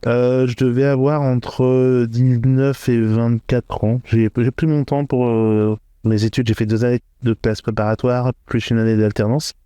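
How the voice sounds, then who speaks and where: A middle-aged man from northern France answering interview questions, thoughtful and hesitant, with frequent filler pauses. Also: mid-range voice, little pitch movement, mellow, clean tone, no echo. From France, Hauts-de-France. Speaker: podcast guest, entrepreneur. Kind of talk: interview conversation.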